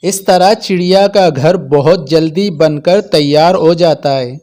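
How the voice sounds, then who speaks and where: A man with a fairly high voice narrates a children's tale. He reads from a script as the storyteller. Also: unhurried, a little mellow.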